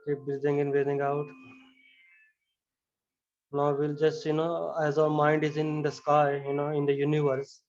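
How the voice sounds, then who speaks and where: A man leading a guided yoga relaxation with chanting, giving calm, repetitive instructions and often saying "you know". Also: a little high, moderate pace, long pauses, lo-fi sound, faint music behind.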